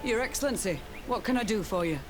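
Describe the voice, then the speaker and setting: Actor playing military outpost captain, stern, grim, respectful, formal video game dialogue, clear background noise. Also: medium pitch, expressive, fast, lightly acted.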